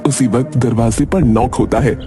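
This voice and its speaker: A man's voice: mid-range voice, steady tone, normal speed, over music. A man narrating a cartoon story from a script.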